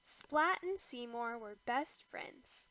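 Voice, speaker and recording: Female storybook narrator for young children: very unhurried, expressive, pitched fairly high. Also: rough recording. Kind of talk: scripted children's read-aloud narration.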